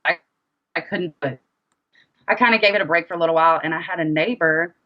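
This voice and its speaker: A woman's voice: fairly low pitch, quick pace, highs cut off. A woman rapper and singer being interviewed, chatting casually and telling stories by acting out bits of conversation. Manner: animated storyteller, quotes conversations in dialogue.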